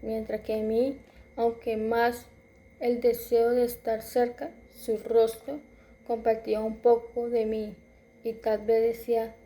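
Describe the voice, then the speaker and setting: A woman in her twenties reading a personal story aloud, halting at times and correcting herself as she goes. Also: fairly high pitch, completely flat delivery, warm tone. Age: 20s. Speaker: student.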